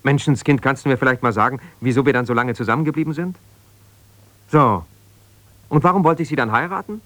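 A defensive husband in a naturalistic 1970s radio play, acted by a man, with a medium-pitched voice in a very dry room. He speaks conversationally and hesitantly, feeling for words as he justifies himself. He sounds wounded and keen to be understood. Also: brisk, a bit bright, dry recording, natural voice. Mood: indignant, defensive. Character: defensive, wounded husband pouring out his troubles to a confidante. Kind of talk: naturalistic 1970s German radio drama monologue.

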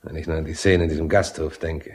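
A man acting in a radio drama as a condescending, slyly genial asylum psychiatrist with a husky voice. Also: very deep voice, flat delivery, a little mellow. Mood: condescending, stern. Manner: condescending, chuckling, unhurried questioning. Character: patronising, smooth-mannered male asylum psychiatrist, slyly genial.

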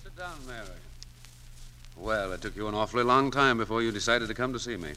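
An actor playing a blunt middle-aged banker, measured and pointed, in a 1940s radio drama. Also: male voice, lowish voice, expressive, over music, close to an everyday voice. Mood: composed. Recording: noisy old 1940s radio broadcast.

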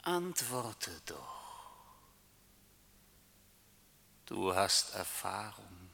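Slow, echoing voice of Death. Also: male voice, lowish voice, very animated, gravelly, bright, forceful tone, heavily acted. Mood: taunting, solemn. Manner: solemn, deliberate, rhetorical. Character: the voice of Death, male, commanding, coaxing and taunting. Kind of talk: theatrical radio drama acting. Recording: long cavernous reverb.

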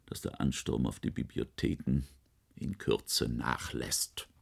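Lamenting bookish official, low, husky, earnest, gloomy, radio drama. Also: male voice, little pitch movement, takes it slowly, exaggerated voice. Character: gloomy, cultured male library official foreseeing decline. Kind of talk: German science-fiction radio play acting.

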